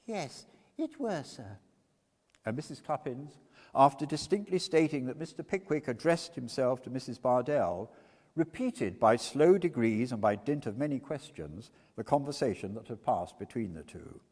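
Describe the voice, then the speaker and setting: Animated male storyteller in reverberant church. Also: medium pitch, normal speed. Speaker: reader of literary scenes. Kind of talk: dramatic stage reading, storytelling. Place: church, before an audience.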